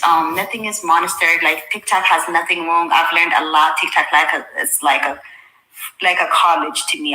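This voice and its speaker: Woman, Ethiopian accent, native Amharic speaker, very sharp bright tone, casual livestream chat, informal thinking aloud, roomy echo. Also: on the low side. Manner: informal, thinking aloud, addressing viewers with endearments. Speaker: social media livestreamer. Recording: roomy, echoey sound.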